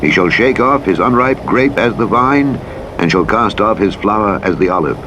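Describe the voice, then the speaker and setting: A man narrating the Bible as an audiobook, in a solemn, formal, measured and dramatic reading style. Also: on the low side, lively intonation. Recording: playback under loud truck engine noise. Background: truck engine and vehicle noise from a driving game.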